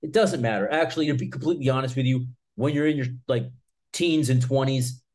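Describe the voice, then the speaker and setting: A man's voice: mid-range voice, a little fast, darkish tone. A man in his mid-thirties giving casual, blunt, emphatic advice to camera as a martial arts channel host, with informal, sweary phrasing.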